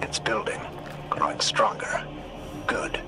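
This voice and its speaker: An actor playing an intense, blunt guide in a video game, with an urgent, challenging way of talking. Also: male voice, takes it slowly, whispered, music in the background, close to an everyday voice. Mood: focused. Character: male guide tracking his commander, intense, defiant, blunt.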